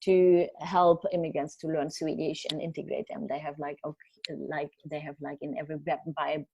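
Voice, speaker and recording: Female guest speaker. Slightly low voice, dry sound, informal answers with halting word searches. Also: flat delivery, gravelly, a little mellow, husky, no echo, low-quality recording. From Germany or the Netherlands. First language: German. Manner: halting, searching for words, frequent self-repairs. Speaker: journalist and author, guest speaker. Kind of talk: informal spoken talk answering audience questions. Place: online video call.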